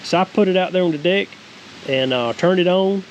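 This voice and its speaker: A man's voice: high voice, brisk, muffled recording. A man in his forties with a Southern US accent, giving a casual, rambling, folksy product review as a homestead vlogger. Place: outdoors, wooded creek, then cabin deck. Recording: clear steady background hiss outdoors.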